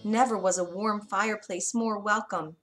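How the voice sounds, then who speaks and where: A woman's voice: medium pitch, steady tone, normal speed, a bit bright. Woman storyteller over music. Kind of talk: scripted narration of a children's fairy tale. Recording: music behind the narration.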